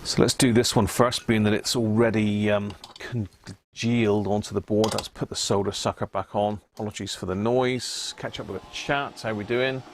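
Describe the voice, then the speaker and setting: Male live-stream host, lowish voice, casual halting workbench commentary, clear background noise. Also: fairly even intonation. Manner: thinks aloud in short, halting phrases.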